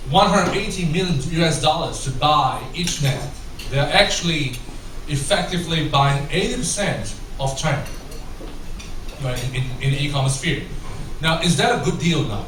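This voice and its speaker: A man's voice: on the high side, lively intonation, a little slow, a bit bright. A man from Singapore with a mixed English and American accent, a corporate trainer giving a chatty talk and quizzing his audience. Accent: mix of English and American. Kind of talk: conference talk with audience questions. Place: large echoey hall with an audience. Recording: echoey hall, voice from a distance, noisy.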